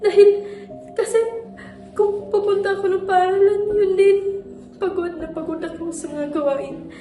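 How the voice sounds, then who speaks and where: A young woman acting an exhausted, despairing student, speaking haltingly and repeating her words. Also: very high-pitched, steady tone, mellow. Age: young adult. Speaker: student actor. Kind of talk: stage monologue. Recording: echoey room, distant mic, music.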